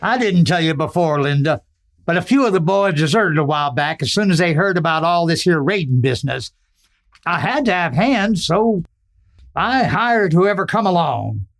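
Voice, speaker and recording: A man's voice: a little high, expressive, normal speed. A man playing a worried, hot-tempered rancher with a rustic cowboy drawl in a Western radio drama.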